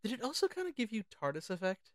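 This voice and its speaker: A podcast co-host talking casually and informally about films, animated and sweary. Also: mid-range voice, fast, crowd noise. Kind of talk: casual film discussion.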